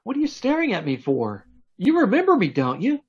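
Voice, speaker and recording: Dull, lo-fi audio of a man acting an eager young suitor in a 1940s-style radio romance. His husky voice swoops up and down as he pleads earnestly and stammers. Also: high voice, huge pitch swings, a little fast, full character voice. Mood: eager, pleading. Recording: dull lo-fi remote call audio.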